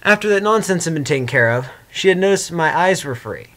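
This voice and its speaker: A man with a slightly high voice reads fiction aloud in an amateur way. He narrates in the first person with expression, keeping an informal, homemade storytelling feel. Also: animated, a little fast, fairly bright tone. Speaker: fan fiction reader. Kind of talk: amateur dramatic story reading with casual asides.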